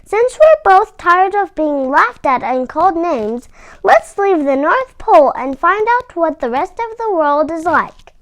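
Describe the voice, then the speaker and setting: Lady doing a little shy boy reindeer for a kids book, high voice, earnest and kinda slow. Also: big pitch swings, heavily acted. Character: young shy misfit male reindeer, earnest.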